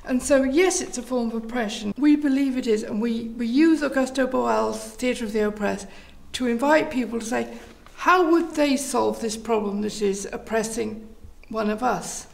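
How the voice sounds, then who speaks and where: A woman's voice: on the high side, normal speed, vocal fry, darkish tone. A woman answering interview questions and telling stories as the leader of a theatre group.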